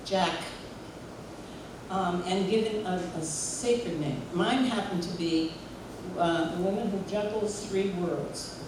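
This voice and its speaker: A woman giving a warm, chatty tribute at a memorial gathering, telling stories and leading the room in song. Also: fairly low pitch, slow. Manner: warm, chatty, leads group singing. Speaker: memorial speaker and singer. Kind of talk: heartfelt spoken tribute. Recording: echoey hall from far off, noisy room.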